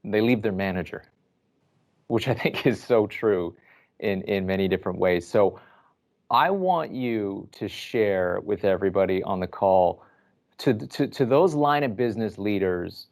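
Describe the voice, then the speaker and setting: A man's voice: on the low side, expressive, unhurried, darkish tone. A man moderating a panel on a video call, upbeat and affirming. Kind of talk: virtual panel discussion.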